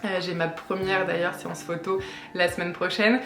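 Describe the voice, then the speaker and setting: A woman's voice: lowish voice, flat delivery, moderate pace, fairly bright tone, roomy sound, faint music behind. Chatty French woman in her late twenties. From France. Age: 20s. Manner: chatty, hesitant with many fillers. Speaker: vlogger. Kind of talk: casual vlog chat.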